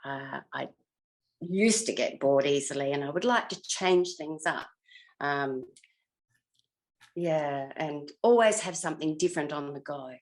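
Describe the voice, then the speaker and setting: Older lady, sixties or up, voice a bit low, casual interview chat, kinda thinks out loud. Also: measured pace. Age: 60s or older. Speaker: retired actress being interviewed.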